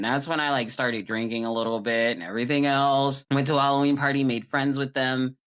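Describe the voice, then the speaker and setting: A young adult chatting casually and telling personal stories in an animated, informal, emphatic way. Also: medium pitch.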